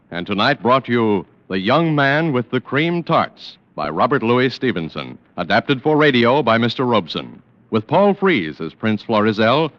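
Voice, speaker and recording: A man's voice: medium pitch, a bit bright. A man working as a network announcer, reading in formal 1940s radio style. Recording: dull lo-fi vintage radio recording.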